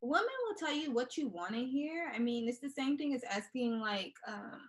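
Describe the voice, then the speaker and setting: A woman in her twenties from Texas with a Texan accent, a guest in a live-stream chat, soft-spoken and hesitant. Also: fairly high pitch, lively intonation, normal speed. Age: 20s.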